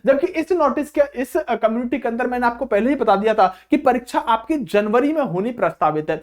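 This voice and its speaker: A man's voice: extremely high pitch, animated, brisk. A man speaking as an exam coach, addressing students informally and repeating phrases for emphasis. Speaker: exam coaching teacher and channel host.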